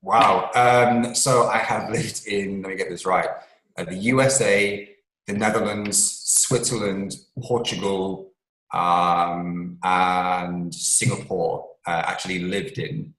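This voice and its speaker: A man's voice: deep voice, steady tone, takes it slowly, fairly bright tone, roomy sound, poor audio. A man from England, an author and scientist, answering interview questions at length with many ums and restarts.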